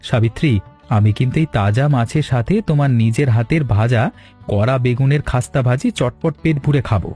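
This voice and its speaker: A man acts as a hardworking young son-in-law in a cartoon tale. He sounds mellow in tone and speaks respectfully and reassuringly, with quiet music underneath. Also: medium pitch, fairly quick, close to an everyday voice. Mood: pleased. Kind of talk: cartoon story dialogue.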